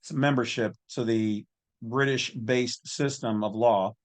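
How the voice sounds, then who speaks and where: Male online commentator, mid-pitched, folksy and opinionated, speaking off the cuff. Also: fairly even intonation, unhurried. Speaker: host of an online legal commentary channel.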